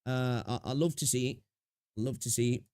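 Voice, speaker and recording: A man's voice: mid-range voice, fairly even intonation, normal speed, darkish tone, smooth, no room sound, studio quality. A young man chatting casually on a live stream, a little sniffly with a sore throat, rambling and greeting viewers. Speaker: streamer reacting to videos.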